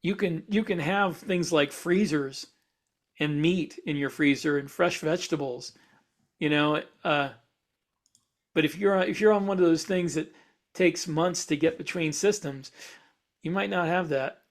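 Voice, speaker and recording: A man's voice: a little high, a little slow. A man talking casually as a podcast host, explaining ideas and thinking aloud as he goes. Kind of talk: casual discussion.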